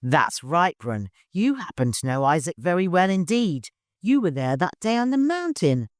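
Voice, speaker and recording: A man's voice: high voice, big pitch swings, normal speed, no room sound, clean studio sound, voice pushed up. A man voicing a mischievous, talkative old servant in an audiobook, knowing and insistent.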